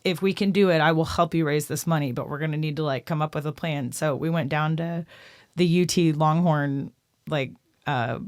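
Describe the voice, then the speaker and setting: A woman in her thirties from Texas, a guest musician telling her story in a casual podcast interview, chatty and lively, often laughing. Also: deep voice, moderate pace, no room sound, clean studio sound. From Austin, Texas. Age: 30s.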